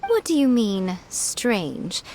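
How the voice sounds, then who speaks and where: A woman's voice: medium pitch, sing-song, slow pace, noisy background. A woman playing a sly, self-assured fox-spirit shrine maiden in a video game, teasing and composed.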